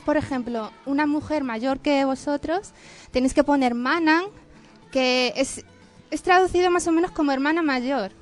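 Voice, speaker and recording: Woman, Philippine language, high-pitched, careful, explanatory. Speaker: guest. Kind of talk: conversational interview answers.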